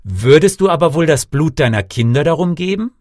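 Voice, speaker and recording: A man's voice: on the high side, expressive, a little fast, darkish tone, no room sound, clean studio sound, voice pushed up, close to an everyday voice. A man voicing a devoted, impassioned king in a storybook tale, speaking in a declamatory manner. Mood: probing. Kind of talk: storybook character voice.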